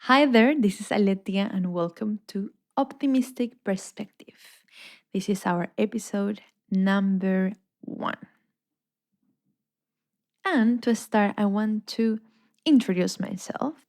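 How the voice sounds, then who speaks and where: A woman's voice: mid-range voice, takes it slowly, pauses often. A young adult woman from Colombia with a Colombian Spanish accent, hosting a podcast in a reflective, upbeat personal monologue. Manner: warm, reflective, upbeat with filler words. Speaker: podcast host.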